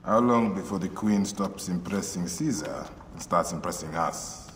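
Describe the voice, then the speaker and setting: An actor playing a warrior who speaks in a dry, wry way. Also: male voice, low-pitched, moderate pace, a bit bright, slight background noise.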